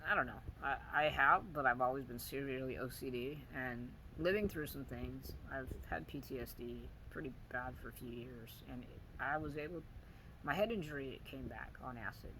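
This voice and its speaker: A man talks quietly to his viewers in a weak, soft voice, stopping and starting as he gropes for words. His pitch sits in an ordinary middle range, and a steady hum of background noise runs under the recording. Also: rough recording. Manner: halting, searching for words, many pauses and fillers. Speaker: vlogger. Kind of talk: personal video diary.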